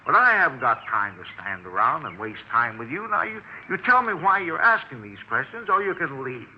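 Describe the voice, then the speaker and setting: An old, dull radio recording with a faint noise bed carries a gruff, surly landlord played by a man. His pitch moves around a lot as he stonewalls police, defensive and evasive in a folksy, ungrammatical way. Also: very animated, normal speed, close to an everyday voice. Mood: suspicious, impatient. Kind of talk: 1950s radio acting.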